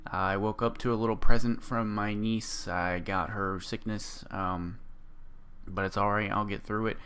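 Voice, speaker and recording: Gaming creator, male, voice sitting a bit low, hoarse from a sore throat, loose and rambling delivery. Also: fairly even intonation, moderate pace, a bit bright. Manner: rambling, full of ums and restarts. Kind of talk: casual gameplay build walkthrough.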